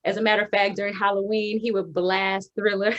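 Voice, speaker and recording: A woman's voice: medium pitch, flat delivery, brisk, lo-fi sound. A young woman singer from the Houston area in Texas, chatting in an interview, talkative, laughing often, with many ums. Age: young adult. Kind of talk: casual interview chat.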